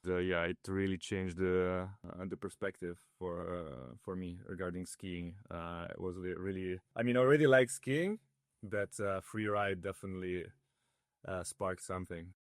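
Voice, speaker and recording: A man's voice: low voice, normal speed, no echo, studio quality. A man from northern Italy, raised in Switzerland, whose first language is Italian, talking through a casual interview with many fillers and restarts. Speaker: ski builder guest.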